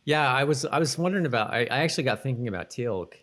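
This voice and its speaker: A man's voice: mid-range voice, expressive, very fast, fairly bright tone. A middle-aged man co-hosting a casual podcast recap of a TV show, thinking aloud in a hesitant, rambling way. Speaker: podcast co-host. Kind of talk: casual TV episode recap chat.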